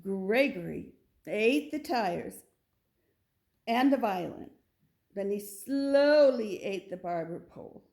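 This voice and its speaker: A woman's voice: mid-range voice, lively intonation, slow, creaky, long pauses, a little mellow. A woman narrating a children's story as a read-aloud. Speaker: storybook reader.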